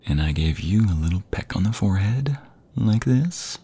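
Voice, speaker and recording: A man playing an affectionate, flirty husband in an intimate ASMR roleplay, with a breathy, husky, gravelly voice that often drops to a half-whisper. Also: on the low side, lively intonation, normal speed, mellow. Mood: tender, flirtatious.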